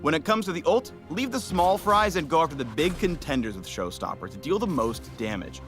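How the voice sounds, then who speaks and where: Male promo narrator, playful and upbeat, very animated pitch, faint music bed. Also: a little fast. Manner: upbeat, playful announcer delivery. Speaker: game spotlight narrator.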